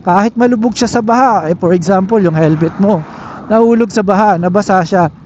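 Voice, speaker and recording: A man's voice: high-pitched, a little fast, darkish tone. A man with a Filipino accent casually reviewing gear as a motovlogger, explaining things and often checking in with tag questions, recorded through a helmet intercom while riding. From Philippines. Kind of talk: casual product review to camera. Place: on a moving motorcycle, inside a helmet. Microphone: helmet intercom microphone. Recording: dull helmet intercom audio with riding noise. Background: faint vehicle noise.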